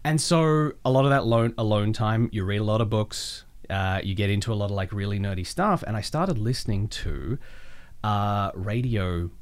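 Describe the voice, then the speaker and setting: An Australian man chatting casually as an interview guest, telling personal anecdotes in an animated, chatty way. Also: fairly low pitch, normal speed. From Melbourne, Australia.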